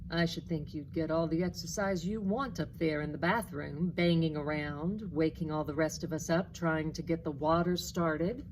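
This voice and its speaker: A woman voicing a haughty, mean-spirited male cat from a children's storybook, sharp and mocking. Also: deep voice, moderate pace, few pauses, background noise, lightly acted. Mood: scornful, bragging.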